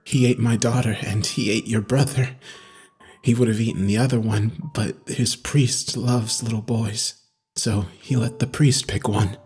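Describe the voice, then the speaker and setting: A woman voicing a tormented, grieving male inmate in a quiet, halting, husky voice for a horror story. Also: a bass voice, soft background music, voice pushed down. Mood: grieving, insistent. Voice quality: husky, breathy. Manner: quiet, halting, whispering delivery.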